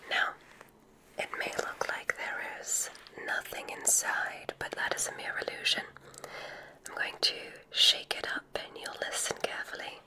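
A woman whispering softly and breathily in an ASMR roleplay as a gentle, soothing witch doctor, close to the microphone. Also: poor audio. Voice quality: breathy, mostly unvoiced whisper. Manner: soothing, gentle, unhurried reassurance. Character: kindly witch doctor giving a magic school exam.

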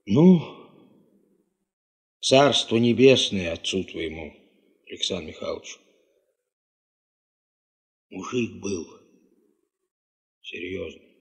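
An actor playing a garrulous, sentimental village neighbour with a folksy, rambling manner in a 1970s radio play. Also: male voice, low-pitched, lively intonation, takes it slowly, creaky, pauses often, exaggerated voice. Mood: mournful, reminiscing.

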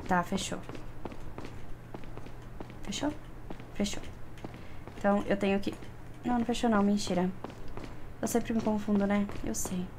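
A young Brazilian woman giving casual, chatty gameplay commentary, often repeating words in quick bursts. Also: medium pitch, fairly even intonation, noisy background. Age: young adult. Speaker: gaming YouTuber. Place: indoor room, seated at computer. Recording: game music behind voice. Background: loud game music and effects.

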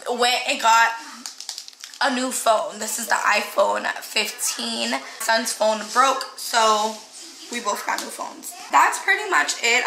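A young woman with a fairly high voice talks to her vlog audience in a casual, confiding way. She is recorded indoors in an echoey room, with clear noise behind her. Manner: informal, confiding. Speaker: family vlogger, mother. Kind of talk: casual chat to viewers. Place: indoors at home.

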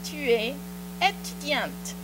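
Middle-aged Mexican woman as a patient TV French teacher, slightly high voice, studio hum behind. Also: big pitch swings. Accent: Mexican Spanish. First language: Spanish. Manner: patient, repeats phrases slowly and encouragingly. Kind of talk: slow drilled language lesson. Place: small TV studio set. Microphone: handheld mic. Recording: studio recording with audible mains hum. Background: mains hum.

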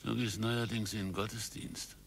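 A man's voice: fairly low pitch, little pitch movement, moderate pace, vocal fry, a little hiss or hum. An actor playing a prickly older retired man in a radio play, blunt and sardonic. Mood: needling. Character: prickly old male retiree on a park bench.

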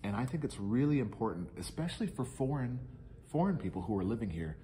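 A man's voice: mid-range voice, big pitch swings, quick pace, mellow, a little hiss or hum. A middle-aged man answering interview questions in earnest, reflective casual talk in a large indoor lounge. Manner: earnest, reflective, gestures while talking.

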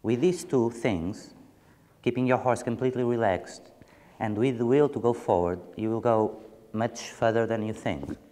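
A man horse trainer speaking calmly and deliberately about his goals. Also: mid-range voice, long reverb tail.

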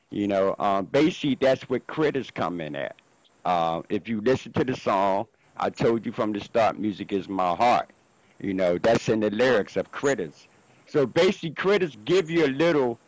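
A man's voice: fairly low pitch, dry recording, rough recording. Rambling man on a video call. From Philadelphia, USA. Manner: rambling, repeats himself. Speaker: guest musician. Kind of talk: casual interview. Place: indoor room at home. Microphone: video call with earphone headset.

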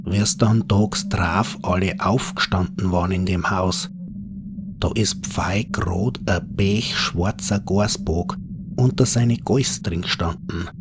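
Low, slow Bavarian man telling horror tales. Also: noisy background. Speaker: horror story narrator. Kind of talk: scripted dialect horror storytelling.

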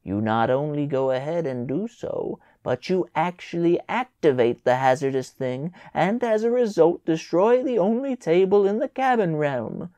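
A man reading a storybook voices a stern, furious mother scolding her daughter, loud and emphatic. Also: a little high, lively intonation, moderate pace, hardly any pauses, no echo, voice pushed up, lightly acted.

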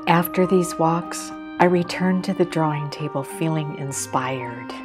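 Low slow woman doing calm scripted narration, loud music behind her. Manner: calm, measured, reflective reading. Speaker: artist narrating her drawing film. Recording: voice-over with loud background music.

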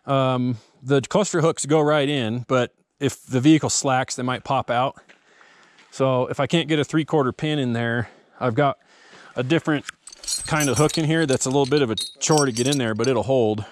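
A man casually narrating as he works on a vehicle recovery outdoors, matter-of-fact and relaxed. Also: mid-range voice, normal speed, dry recording. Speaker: volunteer vehicle recovery driver. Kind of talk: casual first-person narration while working. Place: outdoors on a forest trail. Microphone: head-mounted action camera. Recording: outdoor camera mic with noticeable background noise.

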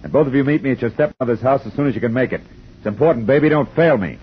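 An actor playing a wry, hard-boiled private detective who narrates tersely in a 1950s radio drama, from a dull lo-fi broadcast. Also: male voice, mid-range voice, lively intonation, racing, a little hiss or hum, lightly acted.

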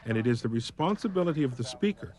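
A man narrating a documentary in a measured, explanatory way, reading a script. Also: mid-range voice, expressive, darkish tone, a little hiss or hum.

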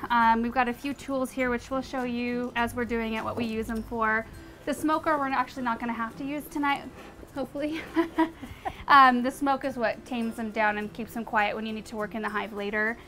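Young woman, garden show host, bubbly casual how-to talk, fairly high voice, faint background music. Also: sharp tone. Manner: bubbly and chatty, light laughs, frequent "um". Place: outdoor backyard garden, windy.

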